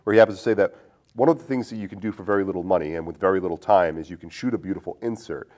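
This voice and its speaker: A man hosting a casual film discussion, asking probing questions in a rambling, animated way. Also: low-pitched, rapid-fire. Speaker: podcast host.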